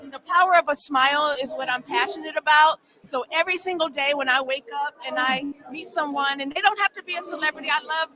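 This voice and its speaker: A woman's voice: sits high, fast talker, few pauses, a crowd in the background, low-quality recording. A woman dentist being interviewed at a podcast event, upbeat and confident.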